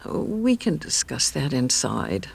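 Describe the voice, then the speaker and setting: A woman playing a kindly, cryptic elderly widow in an audio drama, gentle and measured with a husky, creaky voice. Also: rumbling low, huge pitch swings, full character voice. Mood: warm, gracious. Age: older.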